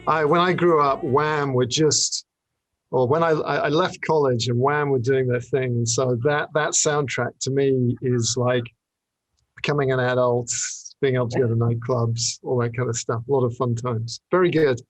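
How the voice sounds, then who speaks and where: Halting male podcast host, dry sound. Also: medium pitch, steady tone, dry recording, poor audio. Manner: hesitant, halting phrasing with repeated words. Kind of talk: casual interview chat.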